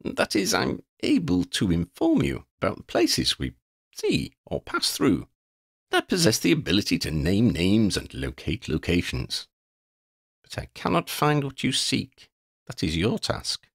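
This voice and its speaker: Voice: low voice, wildly swooping pitch, a little slow, creaky, slightly rough, no room sound, clean studio sound, exaggerated voice. A man voicing a gangly, muddle-headed wandering vagabond in a storybook, with quaint old-fashioned phrasing and a rambling, easily distracted manner. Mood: airy, rambling.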